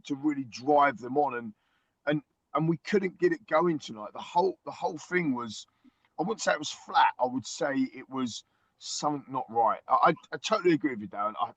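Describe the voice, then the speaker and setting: A man who is a season-ticket-holding football fan, hoarse from singing, talking excitedly in a casual podcast chat and tripping over quick restarts. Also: medium pitch, no room sound. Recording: dull lo-fi dry sound.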